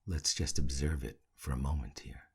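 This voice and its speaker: A man leading a guided meditation in a hushed, soothing, gravelly and husky voice, leaving long pauses between short phrases. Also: extremely low pitch. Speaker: meditation teacher.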